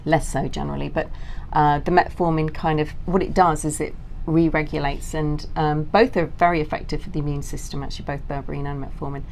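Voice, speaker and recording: Low-voiced woman explaining in an interview. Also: steady tone, fairly quick, gravelly, few pauses, a little mellow, slight background noise, poor audio. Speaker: interview guest, author. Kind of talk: explanatory interview talk on a technical subject.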